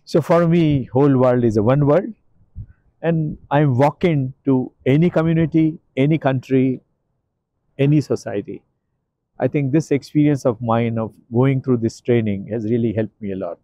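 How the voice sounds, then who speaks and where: Older guy, earnest and emphatic, warm mellow voice, outdoor courtyard, dry close sound. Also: medium pitch, moderate pace, no room sound, muffled recording. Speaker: interview guest. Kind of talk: outdoor walking interview.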